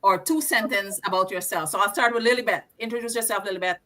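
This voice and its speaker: A woman hosting an online group call, briskly calling on each guest by name. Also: medium pitch, sharp tone. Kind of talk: casual video call hosting.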